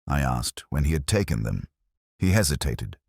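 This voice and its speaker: A man reading a scripted first-person detective story in a measured, literary, brooding way. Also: extremely low pitch, big pitch swings, creaky, a little mellow, dry recording, studio quality. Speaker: narrator.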